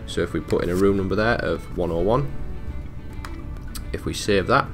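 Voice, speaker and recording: A man presenting a technical support tutorial, talking through software steps in a casual, informal, matter-of-fact way. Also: low voice, moderate pace, soft background music. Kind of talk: casual step-by-step software walkthrough.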